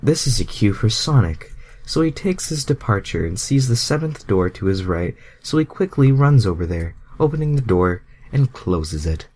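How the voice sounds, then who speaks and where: A man's voice: on the low side, sing-song, moderate pace, darkish tone, breathy edge. A man narrating fan fiction casually as an amateur reader, stumbling over words and dropping sweary asides.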